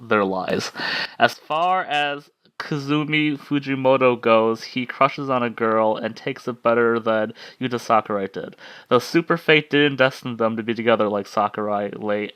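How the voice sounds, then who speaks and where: A man with a forceful, clear voice leads a casual group discussion. His manner is jokey and sweary as he reads out comments, and the sound is close and dry. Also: mid-range voice, no room sound, rough recording. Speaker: host leading an anime club discussion.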